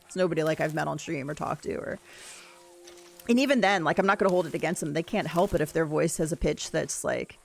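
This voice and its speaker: A woman with a deep voice, chatting casually and rambling while she plays a game on a livestream and answers her chat. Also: quick pace, creaky, a little mellow. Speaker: streamer. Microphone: desk mic, no camera.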